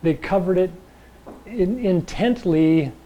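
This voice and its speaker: A man teaching a chi gung and tai chi class in a loose, rambling way, with a slightly raspy voice and frequent check-ins with his listeners. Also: high-pitched, slow, mellow, poor audio. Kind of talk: casual class talk.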